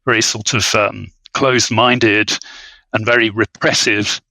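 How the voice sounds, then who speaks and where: Bright-voiced man, halting and scholarly. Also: fairly low pitch, little pitch movement, gravelly, no echo, poor audio. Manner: halting, repeating words as he forms ideas. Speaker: literary scholar guest on a podcast. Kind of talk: unscripted analytical podcast discussion.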